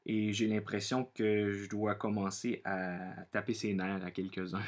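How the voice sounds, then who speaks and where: A man from Quebec with a Quebec French accent, speaking earnestly and off the cuff as a vlogger, often pausing and repeating himself as he searches for words. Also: fairly low pitch, flat delivery. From Quebec, Canada. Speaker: vlogger sharing personal opinions. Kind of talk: unscripted monologue to camera.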